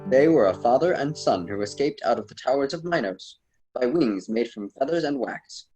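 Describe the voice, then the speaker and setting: A man playing a wise, admonishing storyteller mentor in an amateur radio drama, formal and slightly husky. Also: mid-range voice, animated, normal speed, a little mellow, soft background music, close to an everyday voice, rough recording. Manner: formal, solemn, didactic.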